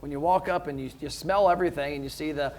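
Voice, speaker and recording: A man's voice: a little high, quick pace, darkish tone. A man hosting a casual interview, introducing his guest with enthusiasm. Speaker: host.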